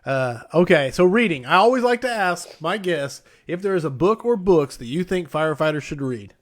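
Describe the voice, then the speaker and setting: A man with a slightly higher-pitched voice hosts an interview podcast. He talks in a casual, conversational style, responding to his guest and putting questions. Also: lively intonation, moderate pace. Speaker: podcast host.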